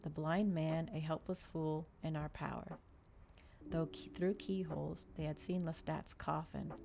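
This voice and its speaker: A woman reading a novel aloud in ASMR style, with a soft, hushed voice and measured, unhurried delivery. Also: sits low, vocal fry, a little hiss or hum. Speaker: ASMR streamer.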